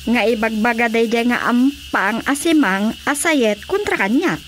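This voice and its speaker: A woman's voice: a little high, unhurried, soft background music, close to an everyday voice. An actress with an Ilocano accent playing a combative, indignant woman nursing a grudge in a radio drama comedy. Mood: aggrieved. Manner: taunting, indignant, confrontational. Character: Bilya, combative aggrieved woman quarrelling with a rival.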